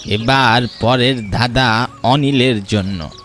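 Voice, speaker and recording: A man voicing a mysterious, commanding stranger who poses riddles in a cartoon story, speaking in a deliberate, theatrical way. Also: mid-range voice, a bit bright. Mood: testing, solemn. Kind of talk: Bengali cartoon story dialogue. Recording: music behind the voice.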